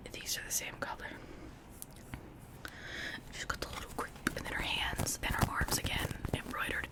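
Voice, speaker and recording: An ASMR creator whispering an informal, rambling chat in a breathy, unvoiced voice, gushing softly over cute things. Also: low-quality recording.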